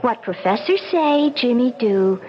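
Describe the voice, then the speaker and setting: A woman's voice: fairly high pitch, expressive, measured pace, highs cut off. An actress playing a cool young woman who speaks in icy, pointed jabs in a 1970s radio drama on a hissy old broadcast. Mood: cold.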